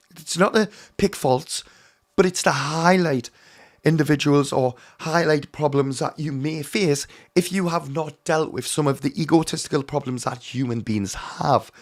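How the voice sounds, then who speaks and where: Hoarse male spiritual teacher, slightly raised pitch, earnest and emphatic direct address. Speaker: mediumship teacher. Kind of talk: direct-to-camera teaching talk.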